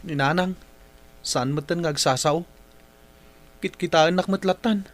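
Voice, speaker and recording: A man's voice: on the high side, measured pace, slight background noise, lightly acted. A man playing an anxious, plaintive young brother who defers to his big sister in a radio drama. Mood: worried. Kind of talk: Ilocano radio drama acting.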